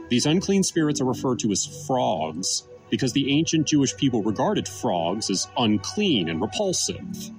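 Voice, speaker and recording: A man giving scripted Bible teaching in an emphatic, deliberate way. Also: fairly low pitch. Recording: music behind the voice. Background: background music.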